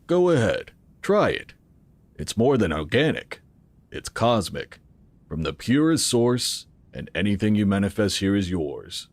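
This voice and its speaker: An actor playing a calm, assured founder of a futuristic tech organization, explaining in a measured way in an audio drama. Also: male voice, on the low side. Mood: reassuring, encouraging. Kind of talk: scripted audio drama acting.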